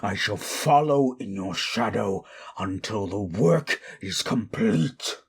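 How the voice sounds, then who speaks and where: Casting: a wrathful, lonely undead creature for audio drama; husky voice, slow and theatrical delivery, formal speech. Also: male voice, on the low side, lively intonation, exaggerated voice. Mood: cold menace. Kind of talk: dramatic audio roleplay monologue.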